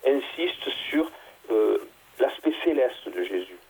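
A man, a theology guest, explaining at length in a halting conversational way, with many fillers and restarts. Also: mid-range voice, lively intonation, gravelly, a little mellow, husky, rough recording.

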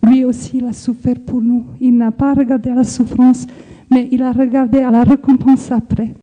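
Earnest older woman, very bright high voice. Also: flat delivery, poor audio. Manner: slow, earnest, reflective with hesitations. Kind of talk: personal faith testimony.

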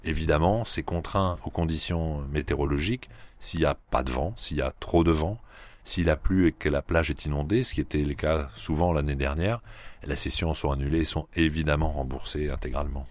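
A man from the Paris region, a club head being interviewed on radio, who pauses often with fillers and restarts. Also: a bass voice, fairly even intonation, no echo. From Paris region, France. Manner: hesitant, many fillers and restarts. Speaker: guest, sand yachting club head.

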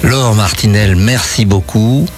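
A male radio host with a lowish voice puts casual questions to a guest. Faint music runs under his talk. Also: slow. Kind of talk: casual on-air interview.

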